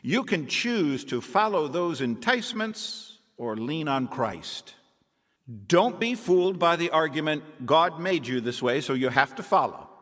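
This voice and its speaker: Animated man narrating a testimony. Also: on the high side, a little slow, big-room sound. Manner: earnest, preaching, quotes scripture.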